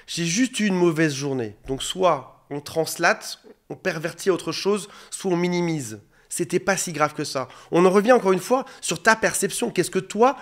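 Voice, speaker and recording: A man in his forties with a head cold and a slightly hoarse voice, speaking as a relationship coach in an earnest, direct monologue to the camera. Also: high-pitched. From France. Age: 40s. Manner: earnest, direct, addresses viewer informally. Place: indoor studio under bright lights. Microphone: facing a camera.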